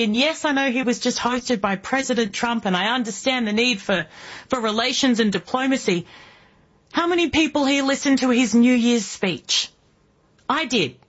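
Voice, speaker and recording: A woman in her early forties with an Australian accent, hosting political talk radio in an emphatic monologue full of rhetorical questions, with a husky voice. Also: fairly high pitch, highs cut off. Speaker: guest radio host.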